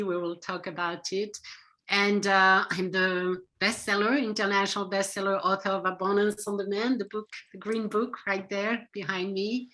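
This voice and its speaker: Interview guest: middle-aged woman, French accent, bright and earnest. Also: medium pitch, poor audio. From France. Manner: earnest, emphatic, explains with conviction. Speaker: psychotherapist guest. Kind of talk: interview answers.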